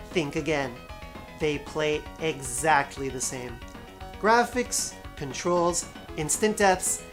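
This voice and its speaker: A woman narrating a scripted video game review as its host, with wry, sarcastic asides and emphatic stresses. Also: fairly low pitch.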